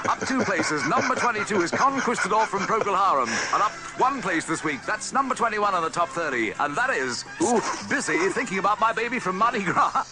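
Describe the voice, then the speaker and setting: A man reads a chart countdown like a classic radio DJ. His voice is high and lively, with punchy patter riding over a loud music bed. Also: a little fast, hardly any pauses, low-quality recording. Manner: punchy disc jockey patter. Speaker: radio chart show presenter.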